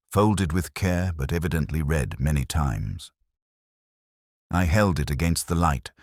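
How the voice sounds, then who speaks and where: Very deep-voiced man narrating detective fiction. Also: no room sound, clean studio sound. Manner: measured, literary, deliberate phrasing. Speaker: audiobook-style narrator. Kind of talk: scripted first-person detective story narration.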